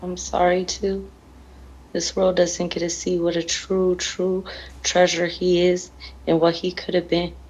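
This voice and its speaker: A woman's voice: lowish voice, monotone, measured pace, darkish tone, slightly rough, low-quality recording. A grieving woman answering interview questions in an earnest, emotional, plain-spoken way, often repeating her phrases. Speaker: bereaved mother being interviewed.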